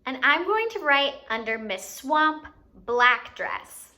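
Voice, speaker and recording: Kids' story-time host, female, bright high voice, playful, guiding young children step by step with questions. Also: big pitch swings, slow. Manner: enunciates for young children, asking them questions. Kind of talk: children's educational activity, instructing.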